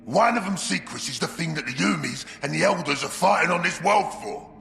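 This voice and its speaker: An actor playing a brash, scheming, brutish orc warboss in a video game, with a gravelly Cockney voice. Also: male voice, fairly high pitch, expressive, fairly quick, fairly bright tone, full character voice. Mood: gloating bossy. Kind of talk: shouted video game cutscene acting. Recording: echoey, reverberant, faint music behind.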